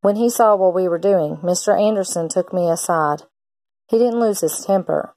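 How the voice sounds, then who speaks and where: Woman reading a picture book, lower-pitched voice. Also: normal speed, faint music behind. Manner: expressive, acts out characters' lines. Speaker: teacher reading aloud. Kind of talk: children's picture book read-aloud.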